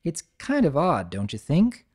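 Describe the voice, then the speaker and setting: A man voicing a cheerful, bookish young pony mare with a bright, friendly and precise manner. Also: on the high side, wildly swooping pitch, unhurried, breathy edge, voice pushed up, heavily acted. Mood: reassuring.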